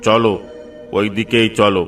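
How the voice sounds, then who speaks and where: A man's voice: on the low side, little pitch movement, unhurried, fairly bright tone, distant mic, faint music behind. A man voicing a commanding village police inspector in a cartoon, giving orders with authority. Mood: watchful, commanding. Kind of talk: cartoon voice acting.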